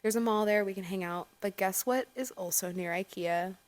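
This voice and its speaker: A woman's voice: mid-range voice, normal speed. A woman co-hosting a podcast, joking playfully with a friend in casual chat. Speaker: podcast co-host. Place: indoors on a couch.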